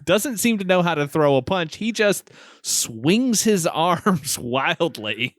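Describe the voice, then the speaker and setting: Unhurried man recapping films, dry close sound. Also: on the high side, lively intonation, no room sound. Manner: amused, often chuckling through words. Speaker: podcast co-host. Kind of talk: casual film recap chat.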